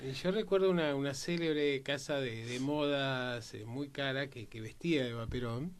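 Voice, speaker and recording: An Argentine man giving conversational historical commentary as a panelist, often pausing mid-phrase. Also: medium pitch, clear, resonant tone.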